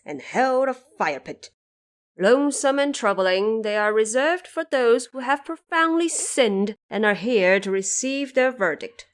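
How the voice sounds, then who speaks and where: Solemn judge of the dead, played by a woman. Audio drama, deliberate and formal, unhurried. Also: medium pitch, fairly bright tone. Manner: formal, grave, deliberate recitation. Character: solemn male underworld judge guiding an emperor.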